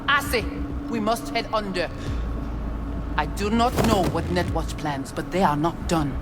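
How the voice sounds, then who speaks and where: An actress playing a cool, commanding female hacker gang leader with a Haitian Creole accent and formal, measured speech in a video game. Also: on the low side, expressive. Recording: music behind the voice.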